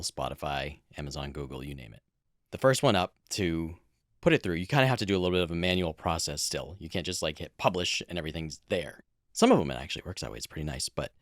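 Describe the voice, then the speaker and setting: A man with a deep voice talks casually as a podcast co-host. His intonation is lively and varied, and the sound is dry with very little room on it. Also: dry recording. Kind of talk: casual explanatory podcast talk.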